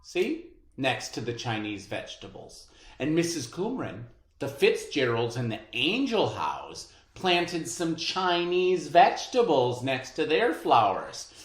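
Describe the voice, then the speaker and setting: The voice is mid-pitched and very animated, belonging to a teacher reading aloud to children. The delivery is unhurried and expressive, and it rings in an echoey room. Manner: expressive, dramatized delivery of story dialogue. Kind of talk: read-aloud storytelling and class instruction.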